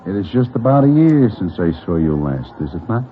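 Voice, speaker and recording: A laconic, oddly polite gunman of radio drama. The voice is husky and mid-pitched with lively turns, in narrow lo-fi sound. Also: a little fast.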